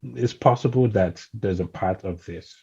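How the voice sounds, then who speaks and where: A man's voice: fairly low pitch, a little slow, creaky, darkish tone, husky, highs cut off. A man talking as a guest, thoughtful, often checking in with "right?" and "you know". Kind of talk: casual conversation.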